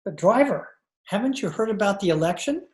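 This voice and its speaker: A woman's voice: mid-range voice, expressive, fairly quick, darkish tone, roomy sound, lightly acted. A woman playing a wry male adventurer and con man in an old-time radio drama, recorded over a video call. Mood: puzzled.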